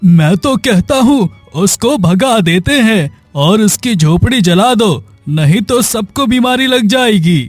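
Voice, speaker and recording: A man's voice: high voice, expressive, slow, hardly any pauses, dry recording, soft background music, exaggerated voice. A man voicing a harsh, hostile village man in a cartoon moral story, scolding and agitated. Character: harsh, hostile village men driving out the sick.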